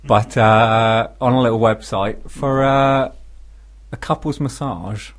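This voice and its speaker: Deadpan bloke on the radio, teasing, telling casual funny stories, average pitch. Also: male voice, slow. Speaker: radio show co-host. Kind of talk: casual comic radio chat and anecdote.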